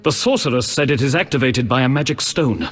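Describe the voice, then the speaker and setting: An earnest knight of a holy order for a fantasy game. His voice is rough-edged and formal, full of righteous purpose. Long reverb trails his words. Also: medium pitch, expressive, fairly quick, soft background music. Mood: urgent, resolute. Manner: solemn, formal. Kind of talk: video game voice acting. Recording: long reverberant hall echo.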